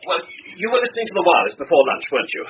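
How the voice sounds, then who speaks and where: Muffled, hissy radio-play recording of an English husband, brisk and sensible. His voice is fairly high and swings widely as he insists on logic. He is emphatic and dismissive of anything irrational. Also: sing-song. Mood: exasperated, insistent. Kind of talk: old-time British radio drama acting.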